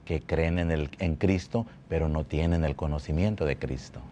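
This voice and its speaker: A man from Mexico telling his life testimony at a church, speaking slowly and haltingly, often repeating words. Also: rumbling low, mellow, slight background noise. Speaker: guest giving testimony. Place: church building.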